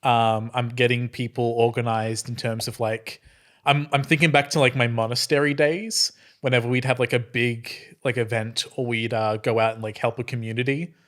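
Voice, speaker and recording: A man's voice: mid-range voice, flat delivery, moderate pace, fairly bright tone. A man playing an improvised role-playing game, chatting casually and thinking aloud in a tentative way. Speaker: tabletop role-playing player.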